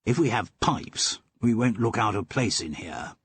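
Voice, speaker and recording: A man voicing a bold, boyish young upper-class gentleman with a blustering, hearty manner. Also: lowish voice, animated, a little slow, slightly rough, exaggerated voice.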